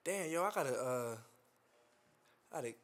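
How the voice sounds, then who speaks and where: A man's voice: medium pitch, lively intonation, normal speed. A young man co-hosting a casual chat, slangy, swearing, and full of "uh" and "um". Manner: slangy, heavy fillers and swearing. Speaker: podcast co-host.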